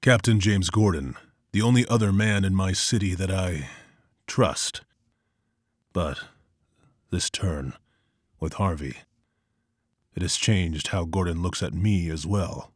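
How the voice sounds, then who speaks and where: A very deep, husky male voice, close and dry. He is a grim masked vigilante in an animated comic drama, narrating in terse, measured, somber phrases. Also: dry recording. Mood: grim, brooding.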